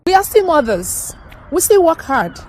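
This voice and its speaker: A woman's voice: extremely high pitch, very animated, moderate pace, darkish tone, slight background noise. A woman from Nigeria, a mother working in healthcare, giving an encouraging promotional testimonial. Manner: encouraging, persuasive. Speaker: mother of four, healthcare worker.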